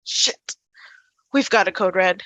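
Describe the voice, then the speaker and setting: A woman acts an earnest, anxious teenage boy in a script reading. The voice is bright and tense at a middle pitch, recorded close and dry. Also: takes it slowly, no room sound, low-quality recording. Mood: breathless, alarmed. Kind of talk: dramatic table read. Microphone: video call.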